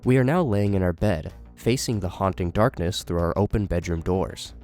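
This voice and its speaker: A man's voice: low-pitched, normal speed, a little mellow, faint music behind. A man giving scripted narration and commentary as a horror series commentator.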